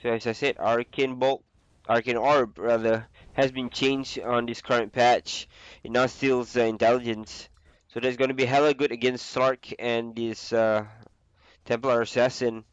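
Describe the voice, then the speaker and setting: Young man, flu, casual game caster, smooth, dry, muffled sound. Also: medium pitch, flat delivery, no room sound. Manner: casual, easygoing, with filler pauses. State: sick with flu. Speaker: esports caster. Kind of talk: solo game commentary.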